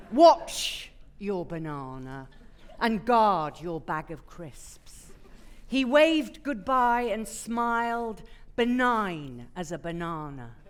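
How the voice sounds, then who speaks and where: A woman's voice: medium pitch, smooth. A woman actor reciting a comic poem on a theatre stage. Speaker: actor reading poetry. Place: theatre stage before an audience.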